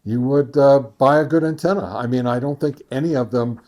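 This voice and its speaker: A man hosting a casual gear review, thinking aloud in a halting way with folksy, conversational asides. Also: medium pitch, darkish tone. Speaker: amateur radio gear reviewer and host. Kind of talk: casual product test summary.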